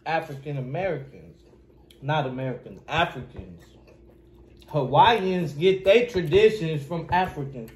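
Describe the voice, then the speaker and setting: A man's voice: a little high, lively intonation, measured pace. A young man rambling earnestly and arguing a point while eating at a kitchen table. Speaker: mukbang co-host. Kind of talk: casual banter while eating. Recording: echoey kitchen with faint ambience.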